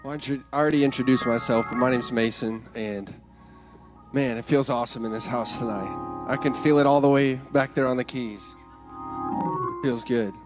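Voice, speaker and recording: A man's voice: medium pitch, steady tone, moderate pace, over music. A young man on a church stage talks into a handheld mic, sharing a testimony in an earnest, casual way. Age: young adult. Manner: earnest, casual talk with restarts. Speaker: keyboard player giving a testimony.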